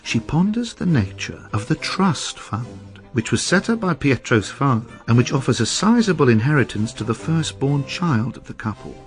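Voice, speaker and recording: A man's voice: mid-range voice, big pitch swings, moderate pace, hardly any pauses, faint music behind, natural voice. An actor playing a gossipy Roman onlooker in a radio drama, knowing and opinionated as he explains a grisly scandal. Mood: storytelling. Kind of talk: radio drama dialogue and storytelling.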